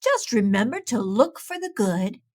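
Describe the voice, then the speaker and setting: A woman voicing a wise, calm old owl in a measured, reassuring way, for a storybook. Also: a little high, very noisy.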